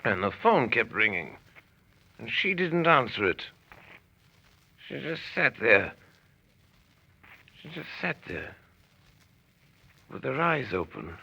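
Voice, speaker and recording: A man's voice: mid-range voice, big pitch swings, takes it slowly, creaky, pauses often, bright, forceful tone, breathy edge, voice pushed down, heavily acted. A man playing a drunken upper-class gent who rambles, croons and gossips in a slurred, chummy way, in an old-time radio drama. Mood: gossipy. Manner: slurred, singsong, chummy "old fellow" talk. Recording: dull lo-fi recording.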